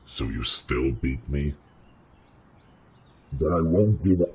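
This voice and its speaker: Man voicing a very deep, menacing demon. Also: lively intonation, takes it slowly, a little mellow, slightly rough, exaggerated voice. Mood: defiant. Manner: commanding, threatening. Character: menacing male demon bent on killing humans. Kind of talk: audio roleplay acting.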